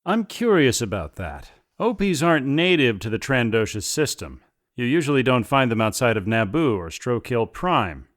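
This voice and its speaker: A man plays a modest mercenary adventurer in an audio drama. His mid-pitched voice moves in a lively way, while his delivery stays polite, wary and understated. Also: normal speed. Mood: curious, wary. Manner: polite, plain-spoken, understated.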